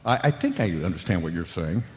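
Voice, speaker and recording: A man's voice: deep voice, sing-song, fast. A man playing an easygoing, patient sports radio call-in host in a radio drama, casual and dryly indulgent. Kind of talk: radio drama parody of sports talk show. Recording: dull lo-fi sound, long hall reverb.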